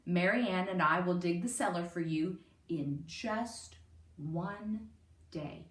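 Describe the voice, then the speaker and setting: A woman's voice: fairly low pitch, takes it slowly, pitched below the performer's own voice. Lady reading as a cocky storybook workman guy, easy pace, a bit far off the mic. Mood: confident. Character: confident, boastful steam shovel workman, a man. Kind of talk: picture-book character reading. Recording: roomy, distant microphone.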